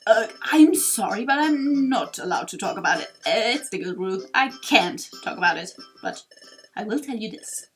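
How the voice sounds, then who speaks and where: Woman doing a halting, shaken guild veteran creature. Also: medium pitch, lively intonation, faint music behind, close to an everyday voice. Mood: uneasy, dread. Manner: halting, grave.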